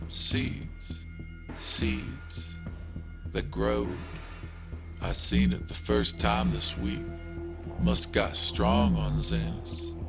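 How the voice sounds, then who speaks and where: An actor playing a dying, delirious old farmer with a rural American accent, rasping out halting, broken fragments in an audio drama. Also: male voice, extremely low pitch, full character voice. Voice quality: gravelly, crackling, broken rasp. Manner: halting, rambling, labored fragments.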